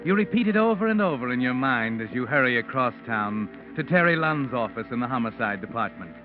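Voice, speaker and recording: An actor playing an ominous, taunting mystery narrator in a 1940s radio drama, speaking in a knowing, deliberate way. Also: male voice, mid-range voice, animated, lightly acted. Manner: knowing, deliberate, sinister storytelling. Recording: muffled vintage radio recording with music.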